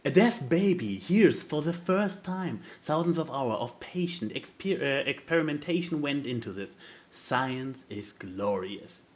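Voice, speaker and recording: A man's voice: medium pitch, expressive, a little slow. A man co-hosting a podcast, chatting casually with dry sarcasm. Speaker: podcast co-host. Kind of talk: casual chat.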